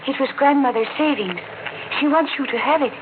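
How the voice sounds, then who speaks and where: An actress playing a calm, wistful young dead woman in a 1950s radio drama, speaking in short, plain phrases. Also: sits high, a little fast, background noise, natural voice. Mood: calm, ominous. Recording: muffled old radio broadcast, music underneath.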